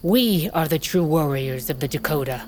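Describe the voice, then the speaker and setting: Low-voiced, commanding warrior queen. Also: lively intonation, darkish tone, a little hiss or hum. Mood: menacing, proud. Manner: imperious, measured. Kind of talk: fantasy audio drama.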